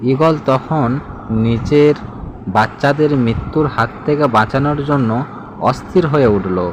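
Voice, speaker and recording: A man's voice: mid-range voice, a little slow. A man narrating a scripted fable as a storyteller, with background noise behind him. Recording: clear background noise, outdoor ambience.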